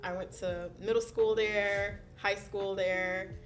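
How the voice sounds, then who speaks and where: A young woman from Haiti, raised in South Florida, a podcast guest telling animated stories about growing up, with frequent "um"s and laughter. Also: medium pitch, slow, a little hiss or hum. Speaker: guest, school counselor. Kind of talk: casual interview storytelling.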